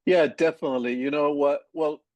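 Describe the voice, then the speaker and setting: Colombian-accented man, relaxed, dry sound. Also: a little high, brisk, no room sound. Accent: Colombian Spanish. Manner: relaxed, rambling, frequent restarts. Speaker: agency founder guest. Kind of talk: casual interview conversation.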